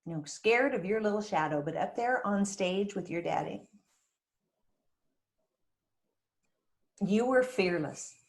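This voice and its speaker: A woman's voice: mid-range voice, lively intonation, normal speed, echoey room, lightly acted. A woman acting a crazy, possessive, folksy small-town mother who is fond but prickly, in a screenplay table read. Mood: wistful recollection. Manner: folksy, dramatic storytelling with teasing jabs. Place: remote virtual table read, roomy-sounding space.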